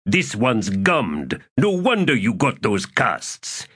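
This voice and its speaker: A male narrator playing an excitable, wheedling soldier who cheats at dice and pleads with mock innocence. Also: mid-range voice, takes it slowly, husky, voice pushed up, full character voice. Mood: scowling, defensive.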